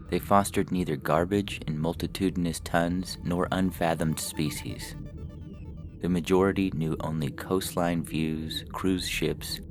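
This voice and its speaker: A man's voice: very deep voice, gravelly. A man reading scripted fiction as a story narrator. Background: music.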